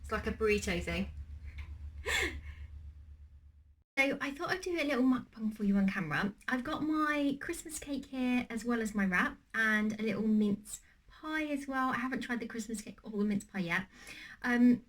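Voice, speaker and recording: A woman's voice: on the high side, animated, normal speed, fairly bright tone. A young woman with a slight cold, chatting casually in a cooking and eating vlog, rambling with many ums and restarts. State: has a cold, slightly wheezy. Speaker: vlogger.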